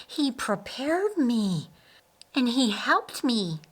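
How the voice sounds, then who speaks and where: Woman acting a shaken, high-voiced young woman. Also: big pitch swings, breathy edge, exaggerated voice, rough recording. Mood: shaken, earnest. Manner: halting, repeating words, insistent. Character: shaken, earnest young woman in her twenties, biblical times.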